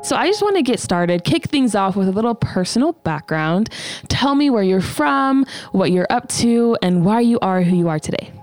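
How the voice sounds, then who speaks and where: A woman's voice: mid-range voice, very animated, moderate pace, a little mellow, soft background music. A woman interviewing a guest, giving short encouraging replies. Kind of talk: interview questions.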